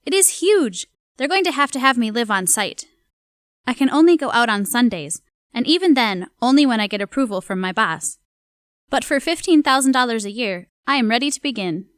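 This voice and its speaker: Earnest housemaid with a clear, smooth voice played by an actress. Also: female voice, fairly high pitch, expressive, a little fast, fairly bright tone, no room sound, studio quality. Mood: earnest, nervous. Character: dutiful, nervous young housemaid at a grand mansion. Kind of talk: audio drama journal monologue.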